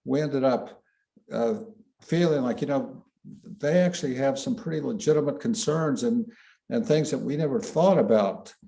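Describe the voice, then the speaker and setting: Mellow-voiced measured filmmaker man. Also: mid-range voice, gravelly. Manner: measured, searching for words. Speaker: filmmaker, panelist. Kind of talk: panel discussion.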